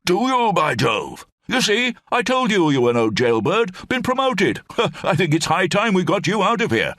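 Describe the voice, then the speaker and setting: A man's voice: sits high, big pitch swings, normal speed, fairly bright tone, dry recording, clean studio sound, pitched above the performer's own voice. Man doing a blustering old brigadier. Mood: jolly. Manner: clipped, blustering, upper-class officer speech. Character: bumbling elderly male British brigadier, jovial, rambling.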